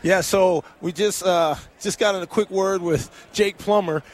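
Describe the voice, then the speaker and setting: A man hosting a sports radio show in casual, animated banter with many fillers, talking into a headset at a broadcast booth. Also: sits high, measured pace. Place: broadcast booth on a busy event radio row.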